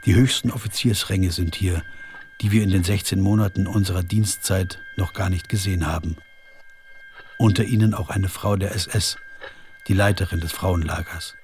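An actor playing an anguished camp prisoner bearing witness in a radio drama, with solemn, deliberate, sorrowful delivery. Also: male voice, sits low, fairly even intonation, a little mellow, slight background noise, close to an everyday voice. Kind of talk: dramatised testimony reading for radio. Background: music.

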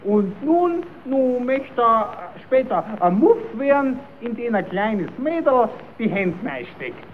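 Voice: mid-range voice, sing-song, slow. A performer playing a cheeky puppet clown who stammers as he spins tall tales for children in a German radio play. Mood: improvising. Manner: stammering, repeating himself, improvising tall tales. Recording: tinny, narrowband, hissy old radio recording.

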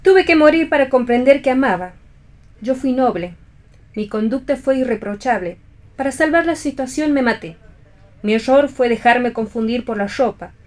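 A woman's voice: high-pitched, animated, moderate pace, a little mellow. A woman acting a proud, possessive rival back from death in a play reading for radio, assertive and declamatory. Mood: proud, impassioned.

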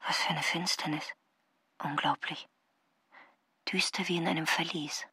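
An actress playing a bewildered, curious woman in a radio drama, hushed and breathy, as if thinking aloud. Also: sits low, fairly even intonation, measured pace, dry recording, heavily acted. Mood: hushed wonder. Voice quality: breathy, half-whispered. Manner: hushed, wondering. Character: bewildered, curious woman guest in an eerie place. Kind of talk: radio drama acting, inner monologue.

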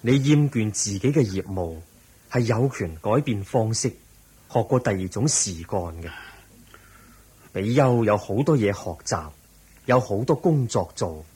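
An actor playing the Buddha in a Cantonese radio drama as a calm, caring teacher who advises gently in measured phrases. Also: male voice, fairly low pitch, slightly rough, exaggerated voice. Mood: calmly instructive.

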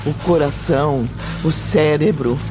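A man giving solemn, measured scripted narration for a radio drama. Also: fairly high pitch. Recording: music behind the voice.

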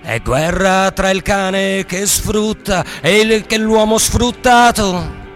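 Male singer-performer, high register, very slow declaimed protest ballad with musical backing. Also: fairly bright tone, soft background music. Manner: declamatory, drawn-out rhythmic phrasing. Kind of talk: protest ballad, sung and chanted in radio theatre.

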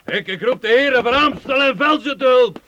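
An actor playing an arrogant, hot-tempered medieval knight in a radio play, imperious and blustering. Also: male voice, extremely high pitch, animated, a little fast, bright, forceful tone, highs cut off, heavily acted. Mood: outraged, threatening. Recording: faintly noisy old radio play recording.

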